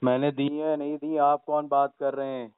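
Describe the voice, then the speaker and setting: A man's voice: fairly high pitch, flat delivery, moderate pace, smooth, no echo. A man talking curtly and irritably in a phone conversation. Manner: curt, irritated. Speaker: team member receiving a prank call. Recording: thin, dry phone-line audio.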